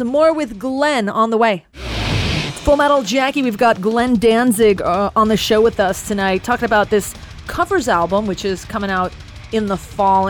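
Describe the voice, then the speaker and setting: Woman radio host, upbeat announcer delivery, faint music bed. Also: mid-range voice. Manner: upbeat, polished announcer delivery with some hesitant restarts. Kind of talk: radio interview with announcer-style intros.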